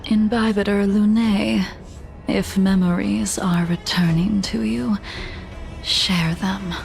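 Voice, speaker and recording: Slow, creaky-voiced, serene ancient swordswoman. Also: medium pitch, fairly even intonation, a little mellow, soft background music. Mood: calm. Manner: measured, formal, wistful. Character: serene, world-weary centuries-old swordswoman, quietly commanding. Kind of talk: video game voice acting.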